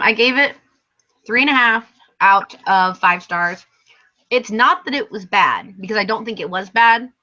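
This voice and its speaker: A woman's voice: mid-range voice, bright, forceful tone. A tired woman who is losing her voice, co-hosting a casual live book chat in a rambling, hesitant, self-correcting way. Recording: dull lo-fi stream audio.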